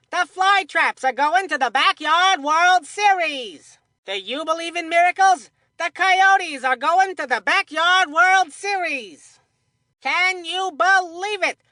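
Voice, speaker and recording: Young boy baseball commentator, high-pitched, bright edge, theatrical, earnest, kids' video game announcing. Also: dry recording, studio quality, full character voice.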